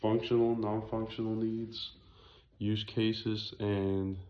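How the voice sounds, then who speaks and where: A young man with a raspy voice giving an informal student project presentation in a hesitant, halting way, often correcting himself. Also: on the low side, little pitch movement, mellow. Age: young adult.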